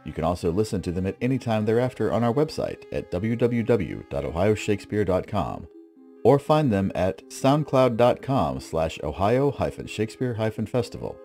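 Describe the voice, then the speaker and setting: A man's voice: lowish voice, big pitch swings, normal speed, mellow, soft background music. A man working as a radio play announcer, reading a scripted broadcast sign-off.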